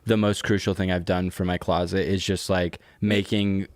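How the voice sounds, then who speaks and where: A young man talks casually as a podcast host. His pitch is very low and the sound is dry, free of room echo. His speech is slangy and full of "like". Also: flat delivery, normal speed, a little mellow. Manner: slangy talk with frequent "like".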